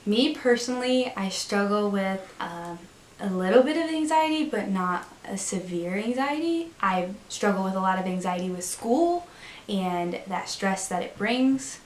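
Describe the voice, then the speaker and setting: Measured young woman, echoey room. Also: medium pitch, lively intonation, a little hiss or hum. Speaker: interviewee.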